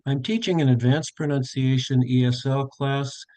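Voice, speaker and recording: An older man runs a live online workshop for tutors, narrating each step as he goes. His voice sits in an ordinary middle pitch, and he talks it through with plenty of fillers and self-corrections. The sound is dry and close, like a video call from a home office. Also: steady tone, normal speed, darkish tone, no echo. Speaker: tutor trainer. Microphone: webcam on a video call.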